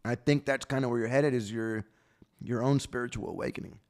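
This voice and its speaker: A man's voice: mid-range voice, fairly even intonation, brisk, a little mellow. A man, hosting a casual podcast chat in a laid-back way, swearing freely and tagging his thoughts with "right?". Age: 30s. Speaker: podcast host.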